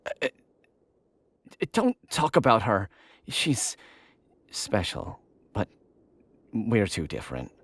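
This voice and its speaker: A man playing a haughty, sardonic young intelligence agent in an anime audio drama, with a gravelly voice. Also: fairly low pitch, wildly swooping pitch, takes it slowly, long pauses, a bit bright, exaggerated voice. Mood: flustered.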